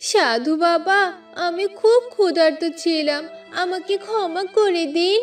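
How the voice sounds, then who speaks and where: A woman's voice: very high voice, a little slow, recorded from a distance, soft background music. A woman voicing a pleading, plaintive young village woman under a curse in a cartoon fairy tale. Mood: desperate pleading.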